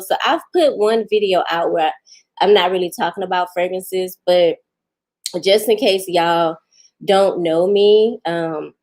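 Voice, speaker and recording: A woman's voice: mid-range voice, a little mellow. Chatty woman in her forties. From Houston, Texas. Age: 40s. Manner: chatty, laughs often, talks back to viewers. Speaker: vlogger answering live chat. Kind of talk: casual live-stream chat.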